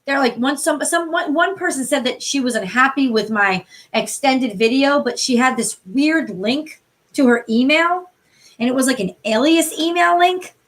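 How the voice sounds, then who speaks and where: A woman from the New York City area with a hoarse voice, chatting casually and swearing freely as she reads tarot cards live for viewers. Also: high voice, normal speed, fairly bright tone. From New York City area, USA. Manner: chatty, profane, greets viewers by name. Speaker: tarot card reader hosting a livestream. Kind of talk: casual live-stream chat.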